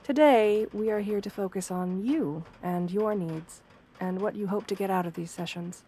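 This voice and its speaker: A woman plays a wolf-girl therapist in an ASMR roleplay, with a soft-spoken voice of medium female pitch. She is gentle and understanding, choosing formal words with measured care. Her manner stays composed, professional and reassuring. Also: a little hiss or hum, lightly acted. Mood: polite, welcoming.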